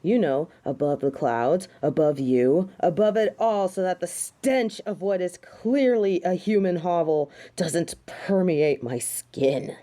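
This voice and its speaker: A woman's voice: on the low side, slow pace, few pauses, mellow. A woman playing a snobbish, indignant fallen angel in a theatrical audio drama, speaking with haughty disdain. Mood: flustered, snobbish. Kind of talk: dramatic audio roleplay acting.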